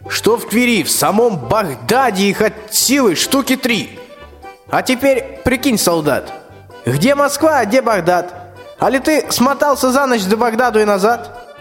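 Radio play tsar, male actor: high voice, imperious and suspicious, long hall reverb, music underneath. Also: lively intonation, measured pace. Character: bossy, threatening, suspicious fairy-tale tsar. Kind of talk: rhymed radio play acting.

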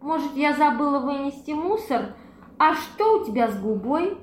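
Woman playing high-voiced, fretful, kind-hearted hostess. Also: very animated, moderate pace. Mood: nervous, evasive. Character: exasperated, kind-hearted single woman hosting an unwelcome ghostly guest. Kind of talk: amateur audio play acting.

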